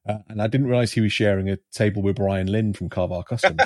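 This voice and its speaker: A middle-aged Welsh man hosting a casual podcast chat, chatty and quick to laugh at himself. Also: on the low side, darkish tone, dry recording, studio quality. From Wales. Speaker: podcast host.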